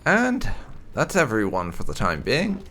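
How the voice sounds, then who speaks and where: A man's voice: medium pitch, huge pitch swings, measured pace, fairly bright tone, slight background noise. A man doing casual let's play commentary as a gaming YouTuber, laid-back and musing, with blunt slang.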